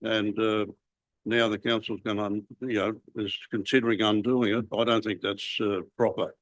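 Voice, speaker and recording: A man's voice: lowish voice, little pitch movement, normal speed, no echo, low-quality recording. A man from Australia, a councillor firmly objecting in a council meeting, stumbling over restarts.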